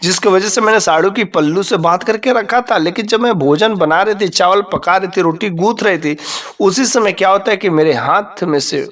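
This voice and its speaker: A devoted old village mother played by a male storyteller in a folk tale, explaining herself earnestly and pleading with her sons. The voice is fairly high and a bit off the mic. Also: normal speed, a bit bright, recorded from a distance. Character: devoted, trusting elderly mother with weak eyes.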